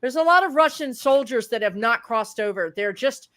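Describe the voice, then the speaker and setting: A woman, a psychic medium chatting casually as a livestream guest, rambling in a loud voice. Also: a little high, animated, normal speed.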